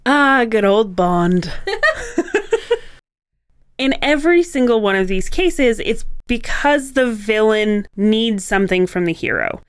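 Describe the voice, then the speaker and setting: Clear-voiced woman co-host, close and dry. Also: fairly high pitch, big pitch swings, takes it slowly, no echo. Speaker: co-host of a writing podcast. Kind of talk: conversational explanation.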